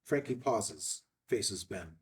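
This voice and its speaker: A man's voice: on the low side, lively intonation, slow pace, distant mic. A man narrating scene headings and stage directions from a screenplay in a scripted read.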